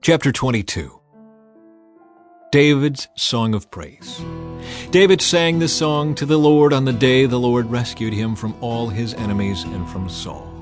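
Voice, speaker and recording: A man narrates an audio Bible in a solemn, measured voice of medium pitch. His delivery is scripted and dramatized, with firm emphasis on the phrases. Music plays underneath him. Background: background music.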